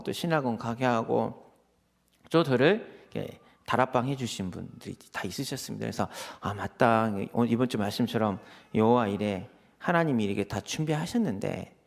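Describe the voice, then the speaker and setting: A male pastor from preaches in a fast, chatty way. His pitch swings widely as he tells personal stories and voices both sides of little exchanges, and his tone often drops into a creaky, gravelly edge. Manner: animated storytelling, acts out quoted exchanges. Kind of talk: conversational sermon with personal anecdotes.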